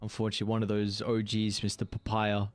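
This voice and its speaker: A man's voice: fairly low pitch, completely flat delivery, fast, faint music behind. A man in his mid-twenties casting an esports match, playful and slangy, laughing easily as he talks. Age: 20s. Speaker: esports caster. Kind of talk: casual esports commentary.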